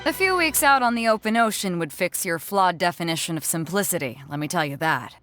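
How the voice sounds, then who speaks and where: A woman's voice: lowish voice, lively intonation, brisk, a bit bright, soft background music. An actress voicing a bold, blunt, hearty female sea captain in a fantasy video game, with a swaggering, colloquial delivery. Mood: scoffing. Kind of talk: video game character acting.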